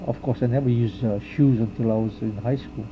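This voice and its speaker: An older man from Hawaii, speaking slowly and haltingly with many pauses and restarts, as he answers questions in an oral history interview. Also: medium pitch, fairly even intonation, muffled recording, slight background noise.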